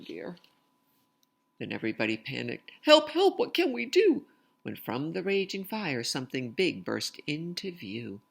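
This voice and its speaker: A woman's voice: very deep voice, vocal fry. A woman reading a picture book aloud expressively, with casual asides to young listeners. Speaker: read-aloud storyteller.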